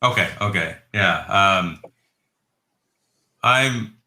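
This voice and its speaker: A man with a low voice and a bright, forceful tone leads a relaxed tech chat. He talks easily and lets his sentences trail off. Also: extremely slow, roomy sound. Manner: easygoing, chatty, trailing off.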